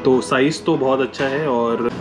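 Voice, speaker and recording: A man in his thirties, chatting casually as a food vlogger in a relaxed way, filmed on a handheld action camera. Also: mid-range voice, over music, rough recording. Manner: relaxed and chatty, with filler pauses. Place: airplane-themed restaurant, indoors and outdoors. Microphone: action camera held at arm's length.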